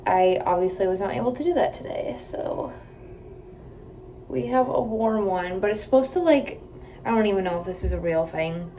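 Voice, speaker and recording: A woman's voice: mid-range voice, normal speed, dark tone, a little hiss or hum, low-quality recording. Chatty woman in her twenties. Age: 20s. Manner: chatty, rambling, lots of "like" and "um". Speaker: vlogger. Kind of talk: casual chat to camera. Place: hotel room and bathroom.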